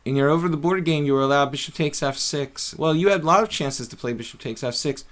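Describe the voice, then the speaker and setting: A man's voice: fairly high pitch, quick pace. A middle-aged man casually commentating his own chess games on a live stream, thinking aloud with many fillers.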